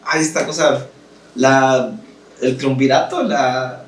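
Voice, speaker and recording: A man's voice: mid-range voice, fairly even intonation, slow pace. A young man from Mexico City with a chilango accent, chatting as a podcast guest and telling jokes in a rambling way that trips over words. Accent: Mexico City Spanish. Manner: jokey, laughing, stumbles over words. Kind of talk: casual chat. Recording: echoey room, distant from mic, faint hiss.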